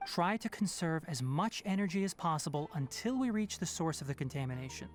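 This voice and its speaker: A man playing a young, practical forest ranger in a video game, speaking in a measured, precise, instructive way. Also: on the high side, expressive, close to an everyday voice. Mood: measured, cautious. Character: young male fox-eared forest ranger, calm, practical, fastidious. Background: game music.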